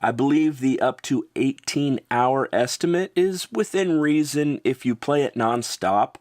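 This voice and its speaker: A man's voice: mid-range voice, animated, a little slow, a little mellow, dry recording, studio quality. A man with a North American accent narrating a casual gadget review in an offhand, informal, slightly wry way. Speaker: product reviewer.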